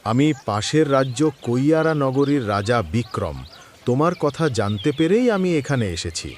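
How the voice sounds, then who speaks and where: A man's voice: medium pitch, big pitch swings, normal speed, slight background noise, lightly acted. An actor playing a courteous, generous king in a cartoon, with a declamatory way of speaking. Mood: grave. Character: courteous, generous male king of a neighboring kingdom. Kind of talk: cartoon voice acting.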